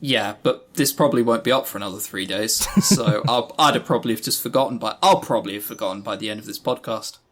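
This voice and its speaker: A man's voice: fairly low pitch, quick pace, hardly any pauses, slight background noise. A man co-hosting a podcast in casual chat, joking and teasing with a mock-serious manner. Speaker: podcast co-host.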